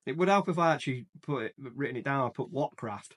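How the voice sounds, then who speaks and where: Casual chatty man, medium pitch. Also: lively intonation, fast talker. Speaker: podcast co-host. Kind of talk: casual film and games discussion.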